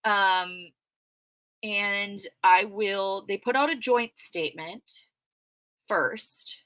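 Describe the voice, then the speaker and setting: A loud, outspoken woman talking through a livestream in a casual, conversational way and answering her chat as she goes. Her voice is bright and forceful, and it sits close and dry with no room sound around it. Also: mid-range voice, extremely slow, no echo. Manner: conversational with frequent fillers. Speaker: true crime commentator reading live chat.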